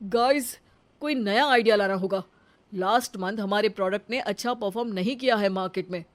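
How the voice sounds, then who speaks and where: A woman's voice: mid-range voice, normal speed, lightly acted. A woman voicing an exasperated but polite male office boss in a comic cartoon story, instructing the people around him. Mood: businesslike.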